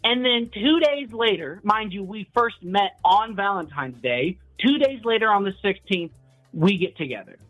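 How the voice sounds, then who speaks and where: Lively young man telling a story. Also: high voice, moderate pace, faint music behind, low-quality recording. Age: 20s. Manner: animated storytelling. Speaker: caller. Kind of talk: casual phone call.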